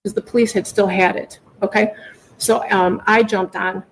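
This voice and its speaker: A woman's voice: medium pitch, fairly even intonation, moderate pace, a little hiss or hum, poor audio. A middle-aged woman making an emotional, unscripted plea to a judge, emphatic and often repeating herself. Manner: pleading, repetitive, emphatic. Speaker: mother pleading in court for her daughter.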